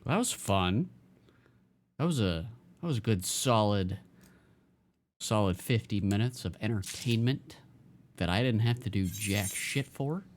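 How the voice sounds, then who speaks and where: A man's voice: fairly low pitch, animated, measured pace, long pauses. A man casually commenting while he streams a video game, rambling with many stammers and fillers.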